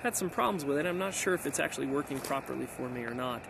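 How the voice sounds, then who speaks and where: Unhurried man demonstrating outdoors, noisy recording. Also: mid-range voice, gravelly. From United States. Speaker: amateur radio operator and video maker. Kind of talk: casual hands-on demonstration. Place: outdoors on a mountainside. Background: outdoor ambient noise.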